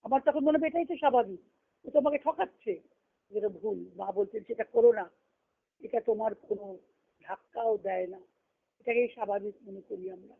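A woman's voice: on the high side, a little fast, long pauses. A woman giving a devotional lesson as a spiritual teacher, reading and explaining in an instructive way, repeating phrases for emphasis. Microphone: conference call line. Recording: muffled, narrow phone-like conference call.

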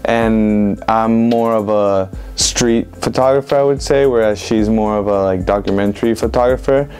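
A young man in his twenties from Venezuela, giving relaxed, rambling interview answers full of "like" and "um". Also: on the low side, moderate pace, smooth, faint music behind. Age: 20s. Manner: relaxed, rambling with many fillers like "like" and "um". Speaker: interviewed street photographer. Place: indoor room with bookshelves.

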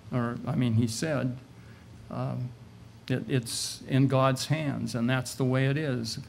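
Preacher, male, sixties. Gravelly and slow, pausing between short phrases, speaking at a lectern mic. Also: mid-range voice, slight background noise. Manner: measured, halting delivery in short phrases with pauses. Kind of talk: sermon retelling a Bible story. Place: indoor meeting room, at a lectern. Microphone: lectern gooseneck microphone.

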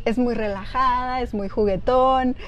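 A young woman hosting a Spanish-language news interview in a TV studio, lively and encouraging with her guest. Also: fairly high pitch, unhurried, muffled recording. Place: small TV studio, seated on couch.

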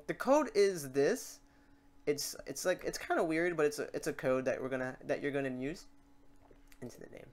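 A man hosting a casual software tutorial, rambling and thinking aloud as he works. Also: a little high, normal speed. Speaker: tutorial host. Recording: clearly noisy mic, keyboard clicks. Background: typing and mouse clicks.

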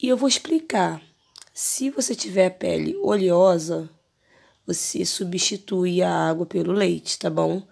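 A Brazilian woman in her thirties, hoarse from an allergy, chatting casually to her viewers from her bedroom with a phone camera close to her face. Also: fairly low pitch, unhurried, a little mellow. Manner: chatty, hesitant, many fillers. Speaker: beauty vlogger. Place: bedroom at home, lying on pillows.

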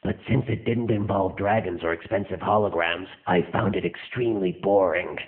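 A man voicing a cold, arrogant, bored rich big brother with a callous deadpan delivery in an anime parody. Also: deep voice, moderate pace. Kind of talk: scripted anime parody dub. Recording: muffled phone-like lo-fi audio.

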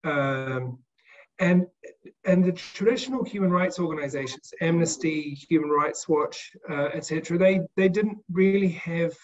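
A man speaking on an online panel, recollecting in a measured, halting way with repeated words, over video call audio. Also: on the high side, highs cut off. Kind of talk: reflective recollection in a panel discussion. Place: indoor room, video call. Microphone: video call microphone.

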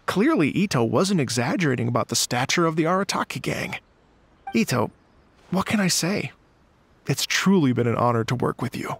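A man's voice: mid-range voice, lively intonation, moderate pace, breathy edge. A man voicing a courteous, formal musician in a video game.

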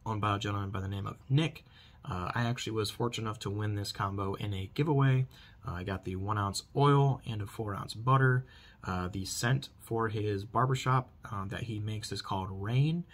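A man's voice: on the low side, a bit bright. A man in his thirties giving a casual product review to camera, repeating emphatic stock phrases, recorded at about arm's length in a small bedroom. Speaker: product reviewer, channel host. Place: small furnished bedroom, indoors.

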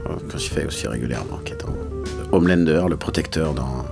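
A man's voice: extremely low pitch, lively intonation, a little fast, gravelly, music in the background. A man, a voice actor talking casually in an interview, colloquial and searching for his words. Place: small recording studio.

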